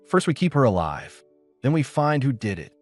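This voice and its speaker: Narrator as a terse, protective ex-soldier, animated pitch. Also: male voice, moderate pace, dry recording, faint music behind, lightly acted. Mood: firm, calm. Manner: terse, firm, instructing. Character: steady, protective male ex-soldier, terse and commanding. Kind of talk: audiobook character dialogue.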